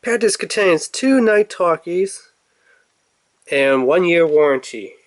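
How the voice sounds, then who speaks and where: A man's voice: a little high, expressive, slow pace, no echo. A man giving casual, off-the-cuff commentary as he reviews a toy in an unboxing video. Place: indoors at a table.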